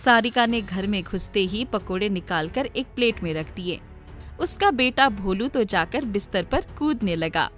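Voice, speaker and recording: A woman's voice: mid-range voice, lively intonation, a little slow, a bit bright. A woman narrator reading a scripted story for children. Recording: music playing behind the voice.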